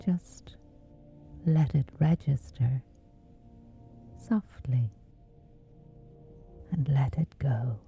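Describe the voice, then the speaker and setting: A woman with a whispery voice, slowly and gently guiding a sleep meditation. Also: very deep voice, creaky, lo-fi sound, faint music behind. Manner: slow, soothing, unhurried. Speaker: meditation guide.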